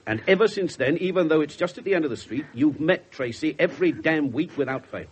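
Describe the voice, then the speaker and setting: Guy playing gruff wry english police inspector, 70s radio drama, lively pitch, noisy old recording. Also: a little fast, close to an everyday voice. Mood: hard, pressing. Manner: blunt, pressing questioner. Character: gruff, callous, wry widowed male police detective. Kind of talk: 1970s radio drama acting.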